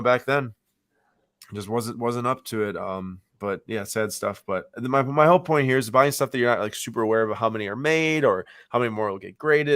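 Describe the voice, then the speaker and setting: A man's voice: medium pitch, fairly bright tone, no echo, studio quality. A man co-hosting a casual live stream, rambling with many "like" fillers. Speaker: co-host of a card auction stream.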